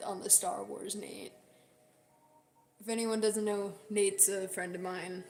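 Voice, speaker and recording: A woman with a hoarse, strained voice that breaks up at times, chatting casually and jokingly with livestream viewers. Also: medium pitch. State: hoarse, sore strained voice from singing. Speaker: livestream host, singer. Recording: roomy echo, loud music behind. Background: loud background music.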